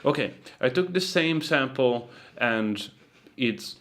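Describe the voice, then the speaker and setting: A man giving a casual, loosely phrased software tutorial as a sound designer. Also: mid-range voice, expressive, slow.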